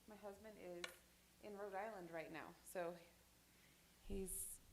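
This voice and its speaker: A woman's voice: medium pitch, steady tone, slow, a little hiss or hum. A woman in her thirties teaching a sign language class at church, talking casually and rambling through stories with restarts. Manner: chatty, rambling with anecdotes and restarts. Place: church hall. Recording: echoey church room.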